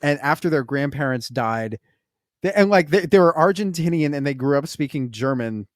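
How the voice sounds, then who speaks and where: A man's voice: fairly high pitch, expressive, normal speed, no echo, clean studio sound. A man co-hosting a podcast, telling stories in a loose, conversational way with plenty of fillers and casual swearing. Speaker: podcast co-host.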